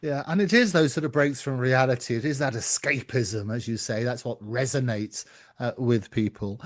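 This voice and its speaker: A British man hosts a conversational interview. His voice is of average pitch for a man. He asks and comments earnestly, putting emphasis on his key words. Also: normal speed. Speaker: talk show host.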